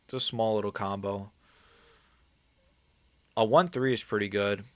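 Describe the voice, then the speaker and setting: A man's voice: on the low side, fairly even intonation, unhurried. A man in his early twenties hosting a casual livestream, talking in slangy, sweary bursts and often stumbling and repeating himself. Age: early 20s. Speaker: livestream host answering chat. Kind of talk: casual livestream chat about combat sports.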